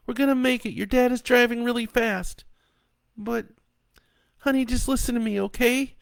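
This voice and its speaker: Panicked mother, high and tearful, read by a man narrator. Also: gravelly, dry recording, studio quality. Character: frightened middle-aged mother and wife.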